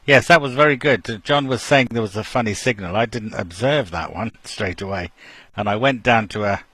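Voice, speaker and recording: A man's voice: on the low side, moderate pace, sharp tone, husky, dry recording. A man hosting an amateur radio net, chatting casually and laughing often between remarks. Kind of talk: casual over-the-air chat.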